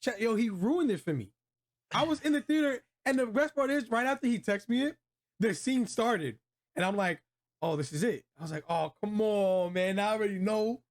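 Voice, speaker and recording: A man with an American accent and a voice a bit above average in pitch. He hosts a relaxed film review, speaking in loose slang with plenty of enthusiasm. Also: moderate pace. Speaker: host of a movie review show. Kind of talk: casual chat, film review.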